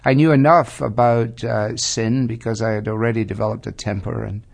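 A Scottish man in his fifties tells his story as a guest pastor. His voice has a gravelly edge, and he speaks in a measured, reflective way, pausing often to find his words. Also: lowish voice, steady tone. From Scotland. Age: 50s. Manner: measured, thoughtful, with frequent hesitations.

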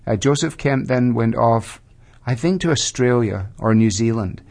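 A Scottish man in his fifties tells his story as a guest pastor. His voice has a gravelly edge, and he speaks in a measured, reflective way, pausing often to find his words. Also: medium pitch, lively intonation, a little mellow. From Scotland. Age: 50s. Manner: measured, thoughtful, with frequent hesitations.

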